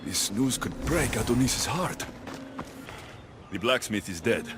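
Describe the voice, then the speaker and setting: A man's voice: medium pitch, expressive, unhurried, heavy background noise, close to an everyday voice. An actor playing a blunt, determined warrior hero in a video game, earnest and direct in his delivery. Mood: grave, determined. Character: blunt, determined male warrior hero, wary but loyal. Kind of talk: video game cutscene acting. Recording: music behind the voice.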